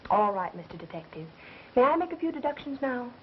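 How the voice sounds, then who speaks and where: An actress playing a quick-witted, wry woman under suspicion in a 1930s mystery film. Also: fairly high pitch, very animated, slight background noise. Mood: wry, challenging. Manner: wry, sarcastic retorts. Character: quick-witted, sarcastic woman suspected of murder. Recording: muffled 1930s film soundtrack with music.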